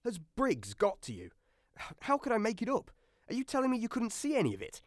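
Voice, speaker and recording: A man playing an excitable, cowardly submarine crewman in a radio drama, stammering and insistent. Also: high voice, brisk, dry recording, studio quality. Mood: panicked, insistent.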